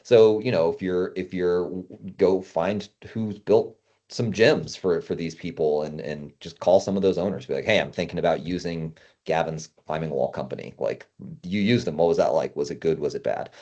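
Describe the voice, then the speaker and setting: This is a man with a deep voice, talking through advice in an informal, conversational way as a guest. His tone is dark and mellow. The recording sounds slightly dull and lo-fi. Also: moderate pace. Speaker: podcast guest, climbing gym consultant. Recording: muffled, dull lo-fi audio.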